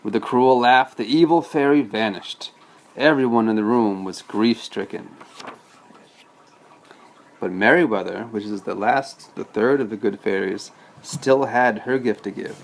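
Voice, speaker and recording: A man's voice: on the low side, measured pace, creaky, poor audio. A man reading a storybook aloud as a father, patiently breaking off to explain things casually to a child.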